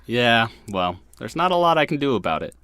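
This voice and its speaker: A man's voice: mid-range voice, sing-song, fairly quick, fairly bright tone, clear, resonant tone, no echo. A man playing an easygoing, resigned young college student in a naturalistic audio drama, talking casually. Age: young adult.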